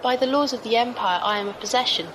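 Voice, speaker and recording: A woman's voice: fairly high pitch, quick pace, background noise, lightly acted. A woman playing a reserved, formal squire in a sci-fi audio drama, speaking with precise, careful wording. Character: formal, reserved female squire, dryly observant.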